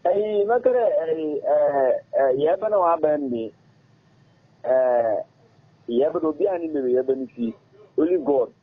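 A middle-aged man with a Ghanaian accent, an interview guest speaking in a halting, repetitive way and mixing languages mid-sentence, in a radio studio. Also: on the high side, expressive, slightly rough. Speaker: interview guest, former footballer. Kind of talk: casual interview talk. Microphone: studio microphone on arm. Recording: muffled phone-like audio.

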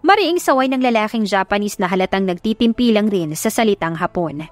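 A woman's voice: mid-range voice, lively intonation, fairly quick, no room sound, faint music behind. A woman reading a dramatic scripted love story as an audiobook narrator.

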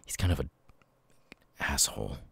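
A man voicing an apologetic, troubled ex-convict with tics, talking haltingly and stammering in an ASMR audio roleplay. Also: very deep voice, animated, gravelly, breathy edge, exaggerated voice. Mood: confiding, hesitant. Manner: halting, stammering, sudden loud tic outbursts.

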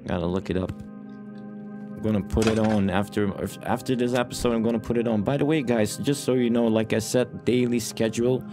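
A man's voice: fairly low pitch, fairly even intonation, moderate pace. A man giving casual let's play commentary as host, rambling and playful, often repeating his own phrases, short on sleep. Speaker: gaming YouTuber, host. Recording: music behind the voice.

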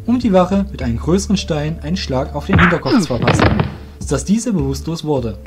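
A man narrating a fantasy audio drama from a script as the storyteller. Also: fairly high pitch. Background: faint music.

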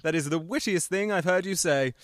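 A man acts out a vulgar, cocky self-made husband in a radio play. His voice sits a little high for a man, with colloquial, swaggering delivery and a chuckle in it. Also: a little fast, no echo, clean studio sound, natural voice. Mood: boastful.

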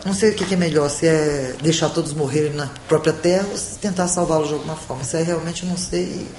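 A woman's voice: low voice, quick pace, darkish tone, reverberant, a little hiss or hum. A middle-aged woman journalist in a documentary interview, speaking reflectively with hesitations.

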